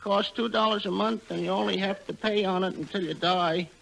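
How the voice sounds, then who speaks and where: An actor playing a meek, persistent oddball insurance salesman, deadpan, in 1940s radio comedy. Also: high voice, fairly quick, creaky. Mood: earnest, persistent. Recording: noisy old radio recording.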